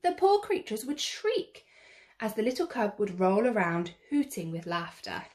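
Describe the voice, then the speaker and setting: A woman reading a children's picture book aloud in an expressive, theatrical way, drawing out the action words. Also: medium pitch, normal speed. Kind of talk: expressive read-aloud storytelling.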